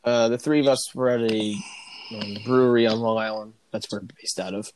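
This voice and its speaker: A man's voice: fairly low pitch, fairly even intonation, a little fast. Male American sports podcast host, casual banter, noticeable noise and clicks behind the voice.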